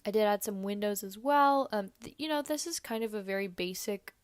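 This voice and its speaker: A young woman talks in a hoarse, slightly sick voice, rambling casually through a voiceover with plenty of fillers. Her pitch sits in the middle of the usual range. The recording is dry and close, with no room sound. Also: fairly quick, dry recording. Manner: rambling, chatty, many fillers. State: hoarse, under the weather. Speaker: video creator.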